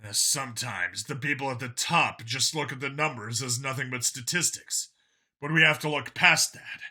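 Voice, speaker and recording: A man's voice: mid-range voice, normal speed, sharp tone, slightly rough. A man voicing an earnest, argumentative, world-weary male cop in an audiobook, arguing in long, insistent speeches. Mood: quiet, pleading.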